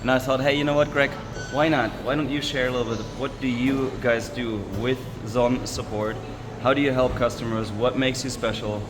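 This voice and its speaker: A man hosts an interview at a busy trade fair, chatting with enthusiasm and steering with questions. His mid-range voice rings with a long hall echo, with steady noise around him. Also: medium pitch, normal speed. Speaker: interviewer, host. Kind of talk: casual interview.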